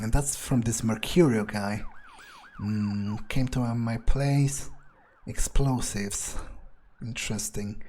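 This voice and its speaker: A man's voice: mid-range voice, dark tone. A man playing a cynical, crude gangster turned vampire, thinking aloud in character in a sardonic, hesitant mutter during a video game.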